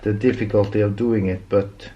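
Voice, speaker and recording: A Norwegian-accented man with a low, flat voice talks slowly and haltingly while working, restarting phrases and filling gaps with "uh." English is his second language, and faint background noise sits under the recording. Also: dull audio. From Norway. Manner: halting, frequent restarts and fillers. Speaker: DIY repair vlogger. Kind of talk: casual narration while working.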